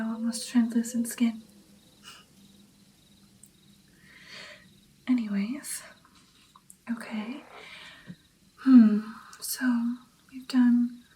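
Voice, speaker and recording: A woman plays a female vampire mentor in an intimate ASMR roleplay. The voice is soft, mellow and slightly high, often sinking into a breathy whisper. Each phrase is unhurried, coaxing the pupil along with gentle pride and playful affection. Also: poor audio. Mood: playful, indulgent. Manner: slow, coaxing, encouraging, lingering over phrases. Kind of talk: ASMR roleplay, personal attention.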